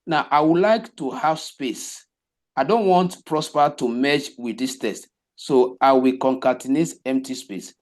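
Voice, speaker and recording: Man, web dev teacher, slow delivery, step-by-step rhetorical explaining. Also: medium pitch. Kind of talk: live coding class walkthrough.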